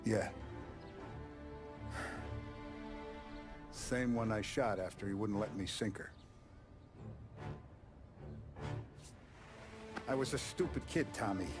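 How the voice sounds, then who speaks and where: An actor playing a grave, commanding mafia boss in a video game cutscene, speaking in a measured, ominous way. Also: male voice, fairly low pitch, close to an everyday voice. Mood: regretful, resolute. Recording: music behind the voice.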